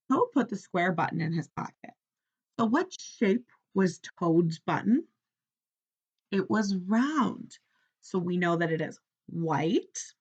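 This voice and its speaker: Slow, expressive woman reading to children. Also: medium pitch, slightly rough, highs cut off. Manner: expressive, instructional, prompting with questions. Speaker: teacher reading aloud to children. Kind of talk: children's story read-aloud with questions.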